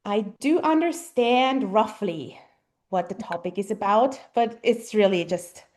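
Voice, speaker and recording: A German-born woman in her thirties, a native German speaker, answering interview questions thoughtfully with frequent pauses and ums. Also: medium pitch, animated, a little mellow. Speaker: guest mother. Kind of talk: casual interview conversation.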